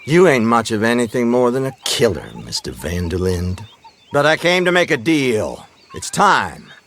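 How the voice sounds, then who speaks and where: An actor playing a stern, haughty American detective in a video game, formal, condescending and menacing. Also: male voice, mid-range voice, sing-song, normal speed, a bit bright, slight background noise. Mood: threatening. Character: stern, haughty Pinkerton detective hunting outlaws. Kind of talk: dramatic video game acting.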